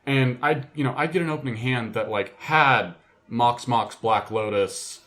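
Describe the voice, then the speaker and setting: A man's voice: medium pitch, a little slow, fairly bright tone. A man co-hosting a podcast, chatting casually and telling stories in a conversational way. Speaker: podcast co-host. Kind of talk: casual chat, storytelling.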